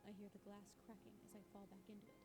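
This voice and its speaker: A woman's voice: medium pitch, monotone, fast talker, vocal fry, loud music behind the voice, lightly acted. An actress playing a tough, defiant young woman, narrating her struggle dramatically in the first person for a visual novel. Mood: distressed.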